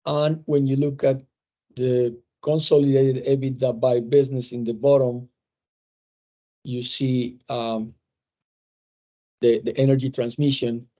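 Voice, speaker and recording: Male executive, measured and halting delivery, mellow tone, muffled call audio. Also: mid-range voice, level tone. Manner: measured, hesitant with frequent fillers and restarts. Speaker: company executive presenting results. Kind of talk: earnings call presentation.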